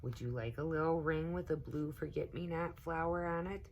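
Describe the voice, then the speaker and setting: A woman voicing a patient, reassuring, gently firm older girl cousin in a storybook. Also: sits low, steady tone, moderate pace, dull audio. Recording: noticeable background noise.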